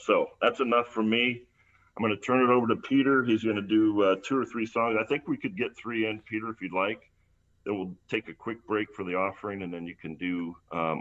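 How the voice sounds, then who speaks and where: An American man giving an informal introduction as a church host, rambling and reminiscing with halting, repeated words. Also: sits low, fairly bright tone. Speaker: church service host introducing a guest musician. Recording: dull, lo-fi livestream audio.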